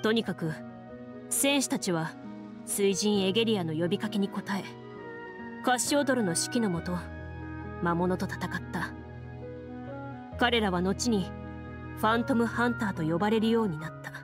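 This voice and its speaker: A woman playing a composed, serious young duelist who tells lore in a measured, matter-of-fact way for a video game. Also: mid-range voice, over music, natural voice. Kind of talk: scripted game dialogue and storytelling.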